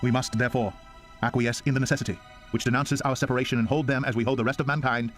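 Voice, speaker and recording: Man, medium pitch, solemn, formal oratory, impassioned dramatic reading, clear music bed. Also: fairly even intonation, fast talker. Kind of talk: dramatic reading of a historical declaration. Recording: music behind the voice.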